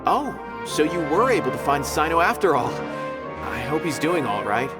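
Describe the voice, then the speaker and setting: A man's voice: fairly high pitch, very animated, normal speed. A man voicing a polite, earnest young male scholar in a video game, explaining things courteously. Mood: sincere. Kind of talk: game voice acting. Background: loud game music.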